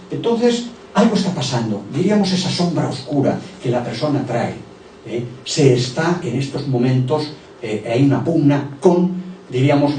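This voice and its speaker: A man's voice: medium pitch, warm tone. A man giving a conference talk from slides, speaking discursively, often tagging phrases with "eh?" and "okay?", and addressing the audience directly. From Barcelona, Spain. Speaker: conference speaker presenting a therapy method. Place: conference hall. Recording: echoey hall, distant mic, faint hum.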